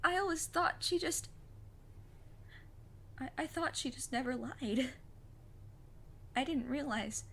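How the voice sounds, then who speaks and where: A woman playing a plucky, earnest fifteen-year-old witch's apprentice in an audio drama, with a husky voice and formal, precise wording. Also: high voice, expressive, slow pace, pauses often, a bit bright, a little hiss or hum, heavily acted. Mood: shaken, distressed. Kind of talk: scripted audio drama acting.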